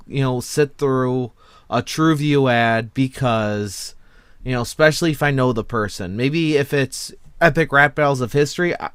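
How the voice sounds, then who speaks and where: A man's voice: mid-range voice, a bit bright, clear, resonant tone, no echo, clean studio sound. A man hosting a casual online panel chat in a joking, rambling way. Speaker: host of an online panel discussion.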